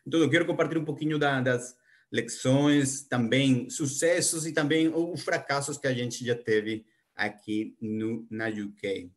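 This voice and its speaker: A middle-aged man with a Spanish accent, Spanish his first language, giving a conference talk in Portuguese as a project planner, halting with many restarts and fillers. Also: mid-range voice, fairly even intonation. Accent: Spanish-speaking. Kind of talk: conference presentation.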